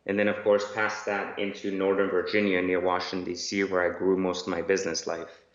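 A man with a fairly low voice talks at length as a podcast guest, circling through fillers and restarts. His audio sounds roomy and muffled, as if he were recorded remotely. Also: monotone, fairly quick. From Iran. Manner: rambling, many fillers and restarts. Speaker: guest entrepreneur. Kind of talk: conversational interview answers. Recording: echoey, dull remote audio.

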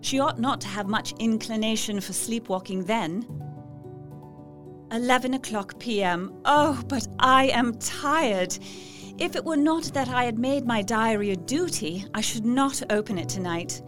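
A woman's voice: fairly high pitch, a little slow, music in the background, lightly acted. A woman playing an earnest, anxious young Victorian lady reading out her diary in an audio drama, genteel in manner. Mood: tired, fond.

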